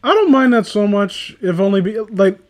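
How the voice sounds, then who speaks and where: A man's voice: sits high, moderate pace. A man chatting casually and sarcastically, with plenty of swearing, as he hosts a comic fan fiction reading. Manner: animated, sarcastic, profane. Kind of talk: casual comedic chat and riffing.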